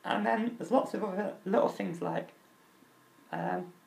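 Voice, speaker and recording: A young man with a crackly, high-pitched voice, talking openly and earnestly about himself, with halting restarts. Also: creaky. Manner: open and earnest, halting restarts, nervous laughs. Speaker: vlogger. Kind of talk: candid personal talk.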